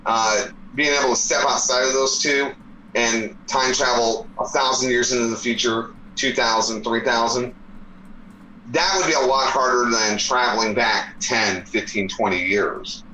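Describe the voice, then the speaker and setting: A man's voice: mid-range voice, unhurried, sharp tone, a little hiss or hum. A man in his forties in a casual debate chat, explaining his points at length. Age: 40s. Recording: roomy echo.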